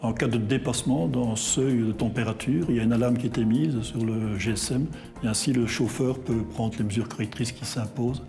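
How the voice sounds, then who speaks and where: A man's voice: mid-range voice, steady tone, brisk, creaky, warm tone. A man speaking as an institution's representative in a corporate testimonial. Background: background music.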